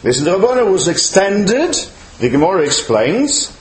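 An echoey room with faint noise surrounds a male rabbi giving a religious lecture. His voice sits a little high and swings widely in pitch. He teaches emphatically, posing questions as he goes. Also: sing-song, normal speed. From outside Chile, now living there. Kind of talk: religious lecture to a live audience.

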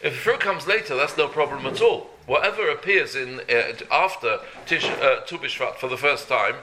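A man teaching an informal Torah class, halting, repeating words and checking in with "yeah?". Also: medium pitch, expressive, background noise.

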